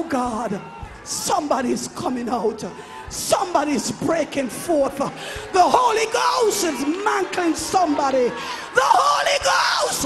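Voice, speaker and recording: A male preacher delivers a fervent charismatic sermon in a very high, shouted voice. He declaims slowly and repeats phrases for emphasis. Music plays prominently behind him. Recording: live worship service with music and crowd. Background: music and congregation.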